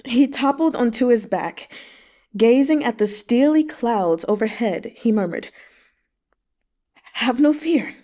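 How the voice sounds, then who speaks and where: A woman's voice: fairly high pitch, lively intonation, a little slow, mellow. A woman narrating a novel aloud expressively as a read-along host.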